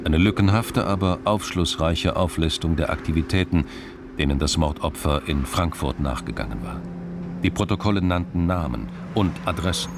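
An actor narrating a German crime radio play as a sober, measured male storyteller. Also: extremely low pitch, creaky, background noise, full character voice.

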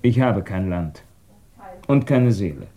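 Low-voiced, tormented, guilt-ridden émigré. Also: male voice, lively intonation, takes it slowly, warm tone, highs cut off, heavily acted. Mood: bitter despair. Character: tormented, bitter émigré man racked by guilt. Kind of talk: 1950s German radio play acting.

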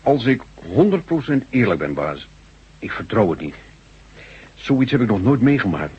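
A man's voice: lowish voice, big pitch swings, normal speed, husky, full character voice. A man acting a burly, folksy construction worker, uneasy and deferential, in a science fiction radio drama. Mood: suspicious, confiding. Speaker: actor in a radio play. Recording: dull, noisy old radio recording.

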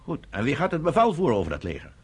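A man's voice: a little high, huge pitch swings, very fast, husky, exaggerated voice. An actor playing a stern chairman of a secret meeting, oratorical and emphatic, in a Dutch radio play. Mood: grave, indignant.

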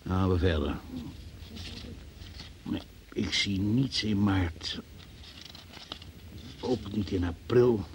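A man's voice: sits low, extremely slow, pauses often. Stern, sceptical male magistrate, hissy recording. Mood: dry, businesslike. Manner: formal, insistent questioning. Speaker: examining magistrate. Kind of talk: Dutch radio play acting. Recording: noisy old radio play recording.

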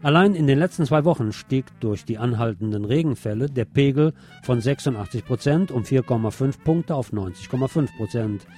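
Man with a mellow voice reading news. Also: medium pitch, expressive, a little fast, few pauses, soft background music. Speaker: radio magazine host. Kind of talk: scripted news narration with commentary.

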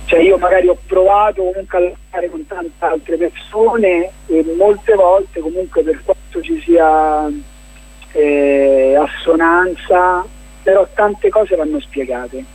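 Husky, higher-pitched man, rambling. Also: expressive, slow pace, a little mellow, poor audio. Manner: hesitant, rambling, filler-heavy explanations. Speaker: playwright and documentary filmmaker, interview guest. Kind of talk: casual radio interview, recounting projects.

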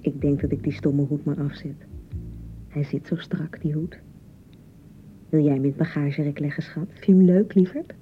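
An actress playing an insecure young newlywed wife in a radio drama, nagging and reproaching in repeated, sarcastic phrases. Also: female voice, deep voice, fairly even intonation, moderate pace, long pauses, lo-fi sound, soft background music, lightly acted. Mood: conciliatory. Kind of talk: naturalistic radio drama acting.

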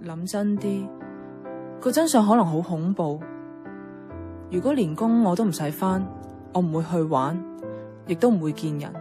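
Actress playing introverted young woman, Hong Kong Cantonese, lower pitch, reflective, subdued, radio drama monologue, clear background music. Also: animated, moderate pace, pauses often, lightly acted. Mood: withdrawn, wistful. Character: introverted, lonely young woman musing to herself.

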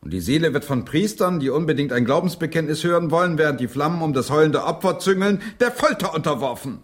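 An actor in a German radio play voicing a proud, indignant English playwright, husky and argumentative in a theatrical way. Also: male voice, fairly high pitch, lively intonation, fast talker.

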